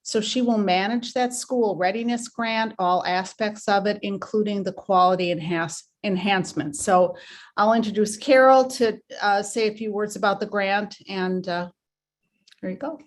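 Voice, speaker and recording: A woman on city staff gives short, friendly remarks at a civic meeting. Her voice sits at a middle pitch, with a few ums. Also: normal speed.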